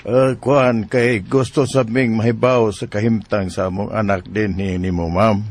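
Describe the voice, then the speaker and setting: A man playing a humble, caring, middle-aged father in a radio drama, halting in speech, with a Bisaya Filipino accent. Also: lowish voice, steady tone, darkish tone. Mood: hesitant, respectful. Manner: hesitant, halting.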